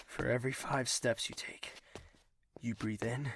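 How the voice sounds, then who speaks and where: An actor playing a proud, wounded young male royal with a fierce temper in an audio drama, speaking in an intense, imperious and deliberate way. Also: medium pitch, steady tone, fairly bright tone, dry recording. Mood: pained, brooding. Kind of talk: dramatic audio drama acting with narrated inner thoughts.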